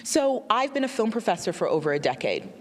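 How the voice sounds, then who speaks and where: A woman's voice: mid-range voice, big pitch swings, a little fast, big-room sound. A woman in her thirties, a film director born in Nigeria and raised in Alaska, answering interview questions earnestly and reflectively. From born in Nigeria, raised in Alaska, USA. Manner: earnest, reflective, often laughing softly.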